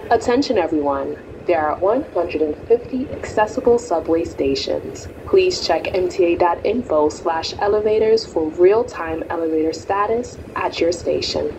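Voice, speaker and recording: A woman gives scripted passenger notices in a fairly low voice. The sound is dull and lo-fi. A vehicle rumbles clearly behind her. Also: normal speed, few pauses, noisy background. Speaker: public service announcer. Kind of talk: scripted public service announcement.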